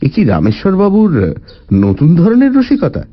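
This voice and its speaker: A man narrating a story in the first person, with an expressive, deliberate dramatic delivery. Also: on the high side, muffled recording. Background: faint music.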